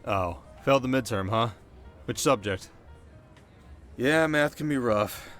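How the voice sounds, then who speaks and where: A man's voice: mid-range voice, expressive, measured pace, a bit bright. Man playing a wry teenage bad boy over music. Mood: apologetic. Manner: casual, wry, sarcastic, sometimes flustered. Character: cocky, teasing delinquent teenage boy from rough side of town. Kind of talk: ASMR audio roleplay, one-sided dialogue to listener.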